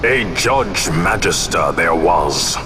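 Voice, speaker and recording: An actor voicing a grave, world-weary former warrior in a video game, speaking solemnly in formal, archaic phrases. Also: male voice, expressive, slow, noisy background. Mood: somber. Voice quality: deep. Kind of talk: dramatic video game voice acting. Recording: loud music behind the voice.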